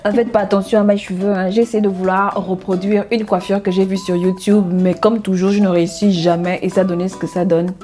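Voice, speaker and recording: A woman's voice: mid-range voice, little pitch movement, brisk, hardly any pauses, mellow, soft background music. A woman in her thirties from Gabon, introducing herself in a casual faith vlog, cheerful, laughing often and saying amen. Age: 30s.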